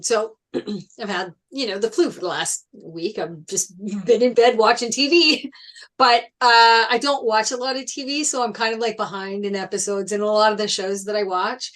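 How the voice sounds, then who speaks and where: A woman's voice: medium pitch, fairly bright tone. A woman in a casual group chat, sharing observations in a reflective, conversational way. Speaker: discussion participant.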